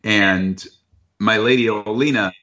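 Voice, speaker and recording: A man with a very low, deep voice talks in a relaxed, frank and talkative way as a chef being interviewed. The recording sounds dull and slightly lo-fi. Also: slow. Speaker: chef who buys from foragers. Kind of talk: casual interview talk.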